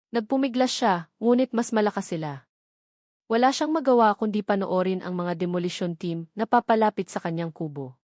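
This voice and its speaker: Female Filipino storyteller for dramatic audiobook narration, mid-pitched, measured delivery, dry recording. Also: darkish tone, dry recording.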